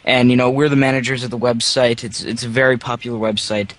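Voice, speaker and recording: A man's voice: mid-range voice, fairly even intonation, fast talker, no echo, close to an everyday voice. A man playing an earnest website manager on a prank phone call, explaining things and repeating words for emphasis. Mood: earnest, explanatory. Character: earnest male website manager reporting user complaints. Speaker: prank caller.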